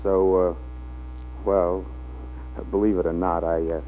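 An actor playing an easygoing, kindly movie-studio pal in a 1940s radio drama, on a tinny, humming recording. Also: male voice, low-pitched, flat delivery, measured pace, a little hiss or hum. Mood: hesitant.

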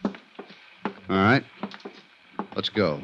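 A noisy old radio recording carries a deep-voiced police inspector. He is steady and plainspoken, talking in a measured, businesslike way in the manner of 1950s radio drama. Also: lo-fi sound, full character voice.

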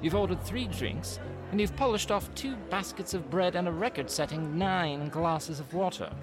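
An actor playing an older male waiter in an audio drama, formal, deadpan and politely snide. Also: high-pitched, normal speed, music in the background, lightly acted. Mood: dryly polite. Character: dry, unflappable older male restaurant waiter.

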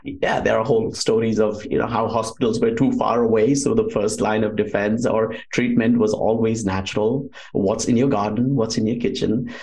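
A man's voice: mid-range voice, warm tone. A man from Nepal with a Nepali accent, talking conversationally as an interview guest, rambling and often saying "you know". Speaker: podcast guest, Ayurvedic doctor. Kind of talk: conversational personal storytelling.

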